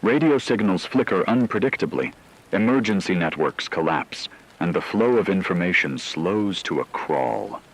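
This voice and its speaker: Man acting as deep husky grave radio correspondent. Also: animated, poor audio. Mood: grave, urgent. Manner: solemn, measured, formal delivery. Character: grave male radio correspondent reporting a global catastrophe. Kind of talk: scripted radio drama news narration.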